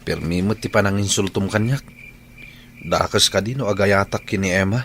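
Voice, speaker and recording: A man's voice: sits low, normal speed, a bit bright, slight background noise. A man playing a lovesick, stubborn young construction worker in a radio drama, earnest and plaintive. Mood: earnest, lovestruck.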